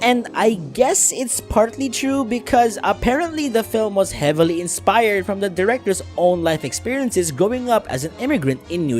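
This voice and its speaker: Expressive woman reviewing films, sarcastic. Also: lowish voice. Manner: sarcastic, informal, swearing. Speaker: film reviewer. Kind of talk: scripted video review commentary.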